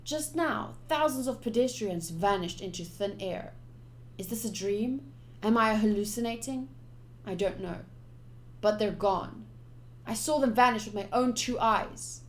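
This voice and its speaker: A woman streamer doing a live let's-play of a visual novel, reading text aloud and reacting in an expressive, chatty way. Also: mid-range voice, measured pace.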